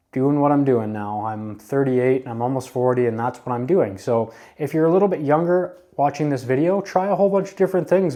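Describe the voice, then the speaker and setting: A man in his late thirties gives career advice in a relaxed, earnest way. His voice is warm and mellow at a middling pitch, and he repeats his points like a coach encouraging young listeners. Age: 30s. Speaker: advice vlogger. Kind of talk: casual advice talk to camera.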